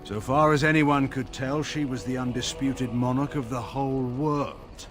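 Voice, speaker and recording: An actor playing a proud, stern middle-aged general and father in a fantasy game, speaking in a grave, measured, formal way with dry sarcasm. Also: medium pitch, fairly even intonation, music in the background, lightly acted. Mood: wistful, fond. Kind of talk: dramatic fantasy game dialogue.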